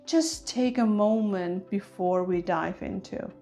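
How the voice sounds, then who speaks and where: A woman guides a meditation in a gentle, soothing ASMR manner. She takes her time, leaving deliberate space between phrases at a very slow pace. Her voice sits at a medium pitch, with soft music behind it. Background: soft music with chimes.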